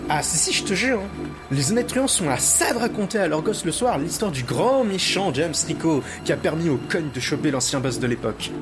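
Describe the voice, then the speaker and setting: A man's voice: a little high, lively intonation, brisk, over music, natural voice. A young man playing a cheeky, boastful teenage thief in an audio drama, slangy and dramatic.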